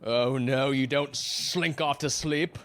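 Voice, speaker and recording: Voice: medium pitch, takes it slowly, a bit bright. An actor playing a menacing, pompous first mate in an audio drama, speaking in antiquated, intimidating language. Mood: menacing, stern. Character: menacing, archaic-talking first mate, fanatical method actor. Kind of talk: theatrical audio drama acting.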